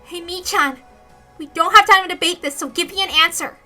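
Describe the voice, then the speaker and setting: Woman voicing an anxious youngster, high and insistent. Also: brisk, pushed and bright, faint music behind, heavily acted. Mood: impatient. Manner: insistent, urgent questioning. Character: anxious, earnest young story protagonist pressing a friend.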